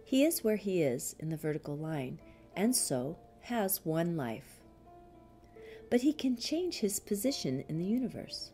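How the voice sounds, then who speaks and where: A woman reading a spiritual commentary as an audiobook, in a measured, deliberate manner with frequent pauses. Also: on the low side, big pitch swings, dark tone, soft background music.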